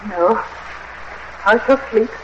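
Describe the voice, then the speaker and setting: Tormented wife, high melodramatic voice, earnest, old radio horror, muffled sound. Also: female voice, slow pace, crowd noise. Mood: pleading, despairing. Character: anguished young wife pleading with cruel husband. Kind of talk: old-time radio horror drama acting. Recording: muffled, hissy old radio drama recording.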